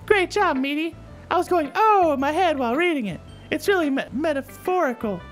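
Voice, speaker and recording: A woman's voice: sits high, lively intonation, fairly quick. A woman voicing a cheerful, reassuring teenage girl club leader in a visual novel, friendly and encouraging. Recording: game music under the voice.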